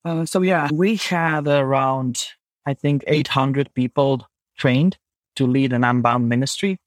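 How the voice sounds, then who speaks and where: Earnest Croatian-accented man, halting. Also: mid-range voice, fairly even intonation, dry recording. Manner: earnest, halting, with many restarts. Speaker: guest. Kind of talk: podcast conversation.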